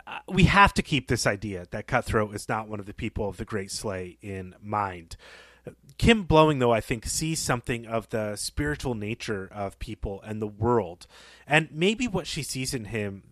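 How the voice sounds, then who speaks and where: A man with a slightly low voice speaks as a podcast co-host. He works through ideas about a story in a reflective, measured, conversational style. The recording is very dry and free of room sound. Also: lively intonation, fairly bright tone, dry recording. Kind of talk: conversational literary discussion.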